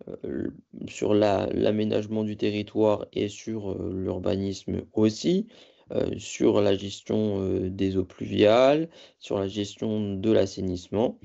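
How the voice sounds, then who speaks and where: A man presenting a training lecture from slides, explaining in a halting, semi-improvised way and often correcting himself. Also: on the low side, creaky, warm tone, muffled recording. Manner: halting, self-correcting delivery. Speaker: presenter of a training course on local government.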